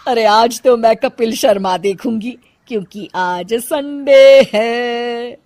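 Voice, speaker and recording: A woman's voice: on the high side, slow, a little mellow, no room sound, studio quality. A woman voicing a fussy middle-aged city mother-in-law who complains in a theatrical way. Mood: annoyed.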